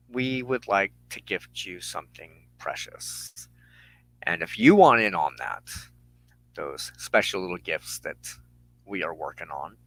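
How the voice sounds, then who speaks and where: Slow-spoken man, low voice, dry sound. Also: sharp tone, no room sound, rough recording. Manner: halting, with pauses mid-phrase. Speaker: broadcast host and tarot reader. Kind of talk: informal announcements to followers.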